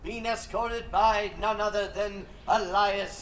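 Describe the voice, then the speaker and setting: A man's voice: sits high, steady tone, takes it slowly, fairly bright tone, full character voice. An actor playing a showy male festival announcer in a fantasy audio drama, announcing grandly. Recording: echoing, faint noisy ambience.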